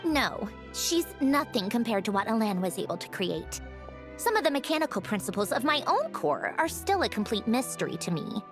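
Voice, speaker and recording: A woman's voice: fairly high pitch, very animated, moderate pace, music in the background. A woman voicing a haughty, matter-of-fact young puppet genius in a video game, with a precise, lecturing, slightly impatient delivery. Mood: proud, wistful. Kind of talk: scripted video game voice acting.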